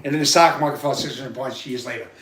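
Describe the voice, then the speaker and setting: A man with a loud voice hosting a livestream, telling a rambling personal story with halting restarts and repeated words. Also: mid-range voice, steady tone. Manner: repetitive, halting, many restarts. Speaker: livestream host. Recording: roomy sound, far from the mic.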